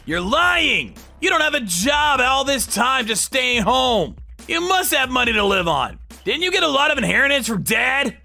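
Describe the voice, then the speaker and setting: A man's voice: extremely high pitch, big pitch swings, moderate pace, gravelly, sharp tone, soft background music, pitched above the performer's own voice, heavily acted. A man voicing an arrogant, whining younger brother, an overexcited salesman, in a dramatized story. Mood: demanding. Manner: sneering, overexcited, pleading.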